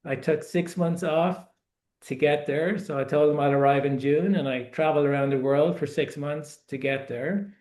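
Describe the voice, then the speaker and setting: A man in his forties with an Irish accent, his voice sitting a little higher than most men's. He tells his story in an unhurried, reflective way, often doubling words as he searches for them. The sound carries a noticeable room echo. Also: steady tone, darkish tone. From Ireland. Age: 40s. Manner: reflective, repeats words as he gathers thoughts. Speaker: guest author. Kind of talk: casual interview storytelling.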